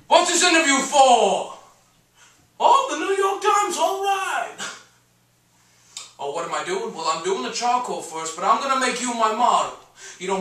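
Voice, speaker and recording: A brash, theatrical middle-aged male comedian-painter holds forth in a hammy monologue. His voice is high and bright, lurching from stammered muttering to bellowing. It is picked up from a distance in an echoey room. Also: full character voice. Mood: blustering, demanding, then rambling, comic. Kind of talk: one-man dramatic monologue on stage.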